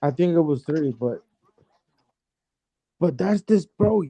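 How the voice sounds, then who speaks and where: A man's voice: a little high, animated, takes it slowly, dark tone, dry recording. A man arguing casually and insistently in slangy debate as a caller, talking over the other speaker.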